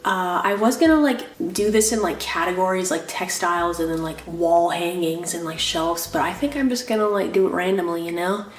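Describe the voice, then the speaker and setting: Young woman, congested and nasal, chatty rambling vlogger, low-ish voice in an echoey room. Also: brisk, hardly any pauses. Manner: rambling, lots of "like" fillers, apologetic. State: nasal from a cold. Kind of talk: casual chatty vlog.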